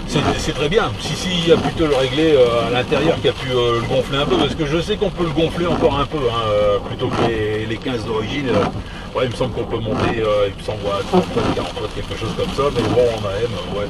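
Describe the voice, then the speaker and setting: A man's voice: medium pitch, brisk. A man chatting casually about technical matters as a radio hobbyist, hesitant and often repeating himself, over a radio link. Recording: distant, very noisy CB radio transmission.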